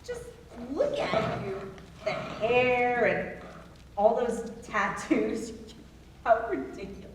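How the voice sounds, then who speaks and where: Roomy distant stage sound, actress as entitled wife, highish voice, snobbish, indignant, dismissive. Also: female voice, lively intonation, takes it slowly. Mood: disdainful. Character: haughty, entitled state politician's wife under arrest. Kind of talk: staged reading of a stage musical. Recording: roomy, distant mic, faint noise.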